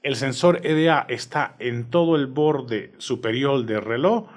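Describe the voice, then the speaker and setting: A man's voice: mid-range voice, expressive, measured pace. A man with a Cuban accent giving a conversational, enthusiastic product review from his home studio. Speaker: tech reviewer.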